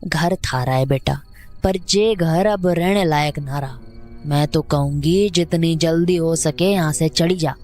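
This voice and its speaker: A woman's voice: low-pitched, unhurried, a little mellow, soft background music. A woman playing a wary older village caretaker in an audio drama, speaking rural North Indian Hindi dialect in a folksy, cautioning way. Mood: ominous warning.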